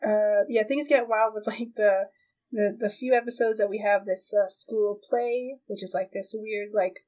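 A woman hosting a podcast, chatting casually in a halting, giggly way as she recaps a show. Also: mid-range voice, steady tone, mellow. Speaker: podcast host. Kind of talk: casual chat, recapping an anime.

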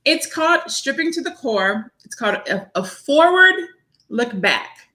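A woman's voice: high voice, measured pace, low-quality recording. A woman in her forties talking earnestly as a guest about her book, often restarting and repeating words as she builds up steam. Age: 40s. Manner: earnest, faith-driven, repeats words when excited. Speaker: author and guest. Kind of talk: casual interview chat.

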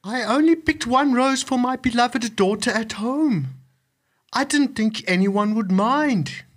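A woman's voice: extremely low pitch, sing-song, unhurried, breathy edge, pitched below the performer's own voice, exaggerated voice. A woman voicing a humble, timid old father in a storybook, speaking earnestly. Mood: pleading. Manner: pleading, earnest.

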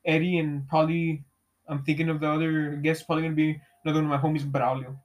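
Young man with a high voice. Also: flat delivery, quick pace. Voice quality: fairly high voice. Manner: laid-back slang, frequent "bro", laughs often. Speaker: podcast host. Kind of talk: casual chat with heavy swearing.

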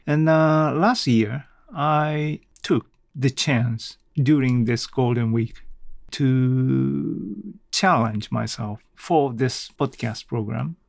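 Male podcast host, slow hesitant casual delivery, dry sound. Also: medium pitch, gravelly, no echo. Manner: hesitant, halting, self-correcting. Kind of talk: casual solo podcast talk.

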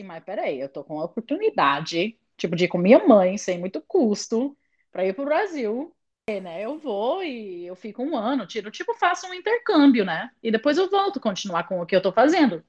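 A young English woman, a native English speaker, chatting in an interview as a lively storyteller. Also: fairly high pitch, brisk, highs cut off. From England. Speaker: interview guest. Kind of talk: casual conversation.

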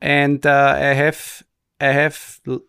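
A man putting on a heavy Austrian accent in English, speaking stiffly and overly properly with halting fillers. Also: fairly high pitch, completely flat delivery, vocal fry, bright, forceful tone, clean tone, dry recording, clean studio sound, pitched above the performer's own voice, full character voice. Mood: mock-formal.

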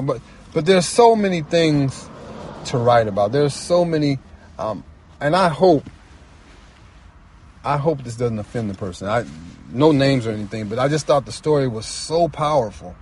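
A man talking casually and reflectively as a guest storyteller, earnest and halting, often repeating phrases for emphasis. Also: mid-range voice, a little mellow, slight background noise. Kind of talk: casual, reflective personal talk.

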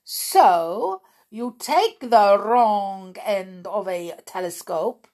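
A woman voicing a ruthless, vain female witch leader, giving orders in slow, emphatic, theatrical pronouncements in a storybook reading. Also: mid-range voice, lively intonation, a little mellow. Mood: scheming. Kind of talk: audiobook character reading.

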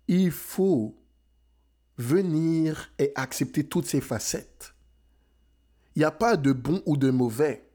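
Slow, rousing young man from France. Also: a little high, warm tone. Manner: emphatic, rousing, mixes English phrases into French. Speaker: motivational speaker. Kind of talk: motivational talk.

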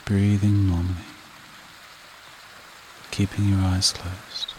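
Deep voiced guy doing guided hypnosis, really even soothing delivery, rain sounds in the background. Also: a little hiss or hum. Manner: soothing, repetitive, with short phrases and long pauses. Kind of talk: guided meditation, hypnotic induction. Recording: rain and running water behind voice. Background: steady rain and stream sounds.

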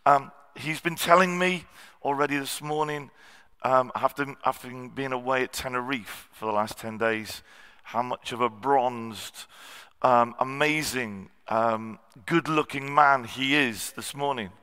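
A man, a guest preacher with a slightly husky voice, giving a sermon with many ums and cleared throats. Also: medium pitch, measured pace, sharp tone. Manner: self-deprecating, frequent ums and throat clearing. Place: church hall. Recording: long church hall reverb.

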